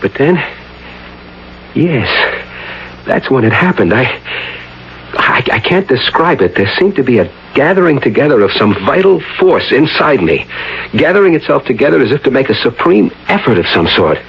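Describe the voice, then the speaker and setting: An intense American professor in an old radio drama. His speech is halting and theatrical, with very animated pitch that rises and plunges between pauses. The recording is dull and lo-fi, with faint noise. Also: gravelly. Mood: awed, intense. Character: intense troubled male parapsychology professor, astonished by his own experience. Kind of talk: vintage radio drama acting.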